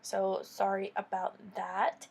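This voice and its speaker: Young woman w/ a cold, nasal and stuffy, chatty makeup tutorial voice-over, mid-pitched. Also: monotone, slow, vocal fry, breathy edge. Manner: chatty, explains each step with casual asides. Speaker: beauty vlogger.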